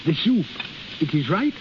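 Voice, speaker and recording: A high-voiced, kindly old innkeeper. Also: male voice, very animated, slow. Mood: warm, coaxing. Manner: quaint foreign phrasing, fatherly. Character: kindly fussing old Swiss innkeeper, devoted and blunt. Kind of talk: 1930s radio acting. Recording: muffled, hissy 1930s radio recording.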